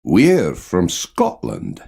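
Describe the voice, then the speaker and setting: Deep, slow-speaking man. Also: huge pitch swings, creaky, dark tone, husky. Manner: slow, carefully enunciated delivery. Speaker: dialogue reader in a language course. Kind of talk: scripted language-lesson dialogue.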